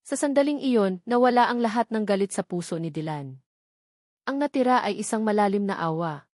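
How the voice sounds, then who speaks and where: Female narrator for dramatic love stories: solemn scripted delivery, medium pitch, very dry sound. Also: animated, fairly quick, a little mellow, no echo.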